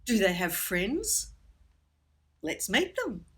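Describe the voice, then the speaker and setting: Expressive woman presenter, lightly husky. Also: mid-range voice, slow. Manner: theatrical, expressive storytelling delivery. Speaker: puppetry workshop presenter for children. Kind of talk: instructional presentation for children.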